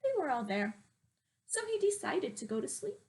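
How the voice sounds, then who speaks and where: A woman teacher reading aloud to young children, expressive and exaggerating her emphasis. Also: sits high, normal speed.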